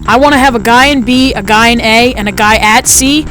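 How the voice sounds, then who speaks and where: A fifteen-year-old boy with a light, high-sounding voice, chatting casually through his gameplay commentary with lots of fillers. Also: fairly quick, sharp tone, soft background music. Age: teens. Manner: chatty, rambling, frequent fillers.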